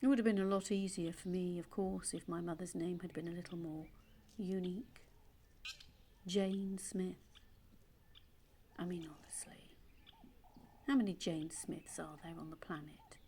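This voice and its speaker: A woman acting as a solitary, wistful Englishwoman in an audio play monologue. She talks slowly and confidingly, musing to herself with pauses. There is steady outdoor noise with birdsong behind her. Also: lowish voice. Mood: rueful. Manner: musing, reflective, with tag questions and pauses. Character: solitary, wistful adopted woman searching for her birth mother.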